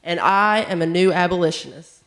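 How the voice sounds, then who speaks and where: A young woman from West Virginia, a student leader, reading a prepared statement in a steady listing delivery. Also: on the low side, a little fast. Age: young adult.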